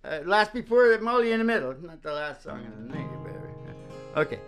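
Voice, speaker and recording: A man's voice: high voice, big pitch swings, quick pace. A man who performs and hosts a music show, chatting casually and rambling as he interrupts himself. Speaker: singer-guitarist hosting a music show. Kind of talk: casual rambling chat between live songs. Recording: dull lo-fi sound with acoustic guitar.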